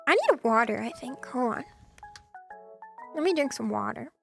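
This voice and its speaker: Young female streamer, high soft voice, chatty and giggly, slightly scratchy throat, faint music bed. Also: animated, normal speed, creaky. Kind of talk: casual chat.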